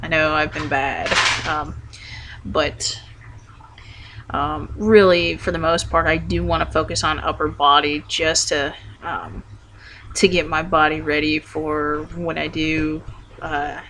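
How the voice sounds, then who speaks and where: Slow, hesitant young man with a bright voice. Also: flat delivery, slight background noise. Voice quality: voice wobbles between deeper and higher. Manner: hesitant, rambling, full of ums and restarts. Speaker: vlogger. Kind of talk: casual video update.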